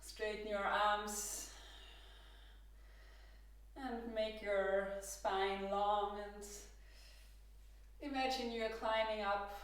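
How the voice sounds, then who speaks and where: A woman with an Austrian accent, a native German speaker, leading a guided yoga class with calm, gentle instructions. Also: medium pitch, far from the mic. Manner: calm, gentle cueing with soft laughs. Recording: roomy echo, from across the room.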